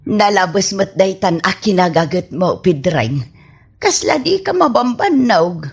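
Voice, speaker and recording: A woman's voice: mid-range voice, sing-song, unhurried, husky, full character voice. An actress with an Ilocano accent playing a worried, scolding mother of a grown son in a radio drama. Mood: worried, chiding.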